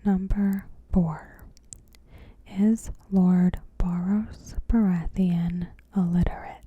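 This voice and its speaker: Female ASMR narrator: whispered, very breathy commentary, extremely unhurried, in short measured phrases. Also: lowish voice, steady tone, phone-quality sound. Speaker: ASMR host. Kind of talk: ASMR, softly spoken TV episode commentary.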